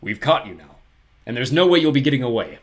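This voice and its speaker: A man's voice: medium pitch, sing-song, fast talker, natural voice. A man doing a stern, blustering banker. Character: stern fussy male banker guardian, coughing.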